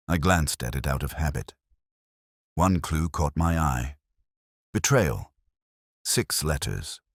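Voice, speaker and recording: A man narrating a scripted first-person detective story in a measured, brooding, literary manner. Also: rumbling low, no room sound, clean studio sound.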